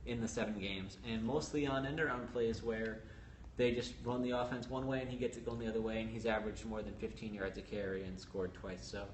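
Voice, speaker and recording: Man, quick analytical sports talk, echoey room, faint ambient noise. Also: on the low side, steady tone. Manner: brisk, explanatory, stat-heavy analysis. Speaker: sports reporter co-host. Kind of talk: casual sports podcast analysis.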